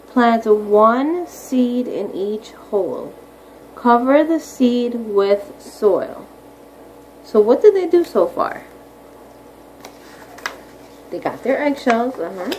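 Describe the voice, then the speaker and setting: A woman with a somewhat high voice gives a read-aloud lesson for young children over some background noise. She explains things simply, like a primary teacher, and keeps checking in with questions. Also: very animated, slow pace, long pauses, darkish tone, poor audio. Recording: clearly audible background noise.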